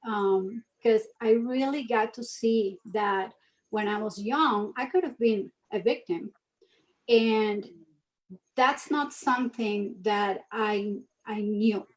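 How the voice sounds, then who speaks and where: A woman's voice: on the high side, low-quality recording. A woman from Venezuela in her thirties, speaking earnestly as an interview guest in a casual chat. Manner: earnest, animated storytelling. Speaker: guest, mission ambassador.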